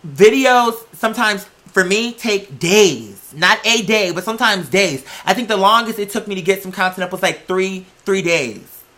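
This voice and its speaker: A woman's voice: mid-range voice, animated, moderate pace, a bit bright. A woman hosting a video, giving casual, blunt commentary to viewers with plenty of swearing and slang. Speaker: video host.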